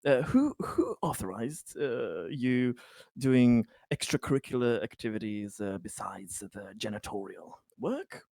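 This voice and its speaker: A man playing a fussy, probing colony official in a tabletop game, speaking in a halting, politely officious way. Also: mid-range voice, very animated, vocal fry, a little mellow, no echo, clean studio sound, exaggerated voice. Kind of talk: improvised tabletop roleplay acting.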